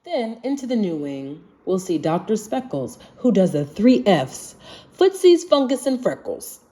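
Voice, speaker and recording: Animated woman reading a rhyming storybook. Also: mid-range voice, unhurried. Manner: expressive, singsong rhyming delivery. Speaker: storybook reader. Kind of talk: children's picture book read-aloud in rhyme.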